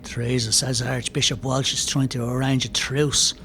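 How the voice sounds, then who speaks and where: An actor with an Irish accent and a gravelly voice playing a wary, distrustful rebel volunteer in a historical audio play. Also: male voice, medium pitch, little pitch movement, normal speed, fairly bright tone, close to an everyday voice. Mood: grave reporting. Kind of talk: historical audio drama acting. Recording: faint noise, crowd ambience behind.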